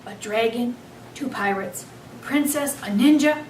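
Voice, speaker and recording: A woman reading a children's book aloud as an expressive storyteller. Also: a little high, measured pace, distant mic. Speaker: story reader. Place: echoey room, away from the mic. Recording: roomy, off-mic, faint background noise.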